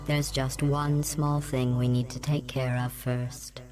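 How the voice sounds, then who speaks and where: A woman voicing a vengeful, coldly polite computer in a video game, deadpan, measured and passive-aggressive. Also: a bass voice, faint music behind. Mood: mock-pleasant.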